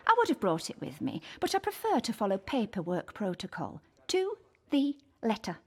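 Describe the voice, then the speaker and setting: Haughty, husky pedant, swooping pitch. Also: female voice, huge pitch swings, moderate pace, a little mellow. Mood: prim. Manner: haughty, precise, formal. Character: pompous, pedantic, self-important female police forensics colleague. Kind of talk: audio drama acting.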